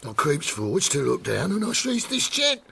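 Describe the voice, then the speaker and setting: A nervous small-time burglar in a detective radio play. His voice is rough, creaky and fairly high, rising and falling as he haltingly gives his account. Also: huge pitch swings, pushed and bright, heavily acted. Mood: sheepish. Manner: hesitant, colloquial storytelling. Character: nervous working-class petty cat burglar, cheeky eyewitness. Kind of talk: period detective audio drama.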